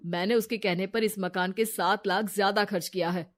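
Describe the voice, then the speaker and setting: A woman's voice: mid-range voice, steady tone, normal speed, lightly acted. A woman voicing a stern, distrustful husband, blunt and scolding, in a moral story. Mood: angry.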